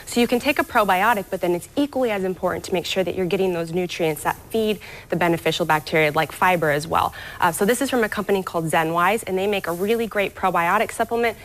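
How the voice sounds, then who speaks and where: A woman's voice: lowish voice, fast talker, hardly any pauses, poor audio. Upbeat woman explaining gut health tips. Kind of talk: TV morning show interview. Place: TV studio.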